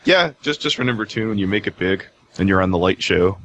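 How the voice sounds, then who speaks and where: A man hosting a casual podcast interview, jokey and a little halting as he puts his questions. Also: low voice, animated, a bit bright, muffled recording. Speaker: host, interviewer.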